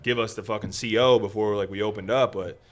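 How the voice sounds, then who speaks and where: A man's voice: lowish voice, steady tone, quick pace. A man in casual podcast chat, talking loosely with lots of filler words and swearing. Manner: loose and profane, full of "like" fillers.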